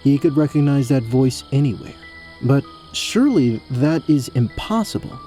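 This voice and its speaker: A man's voice: medium pitch, animated, moderate pace, dark tone, soft background music. A man delivering scripted narration for a fantasy audio drama as its storyteller.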